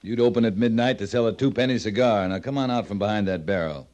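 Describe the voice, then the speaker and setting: An actor playing a wry, easygoing American cavalry captain in an old-time radio Western, direct and unfussy. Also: male voice, fairly low pitch, fast talker, darkish tone, lightly acted. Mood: dryly amused, insistent.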